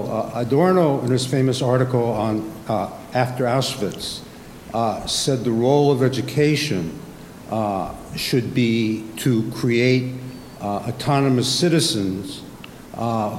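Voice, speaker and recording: A man's voice: mid-range voice, darkish tone, slight background noise. Articulate man speaking from an echoey floor. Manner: articulate, lecturing, with many fillers. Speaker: audience member, former teacher and analyst. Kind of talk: academic question from the floor. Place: conference hall.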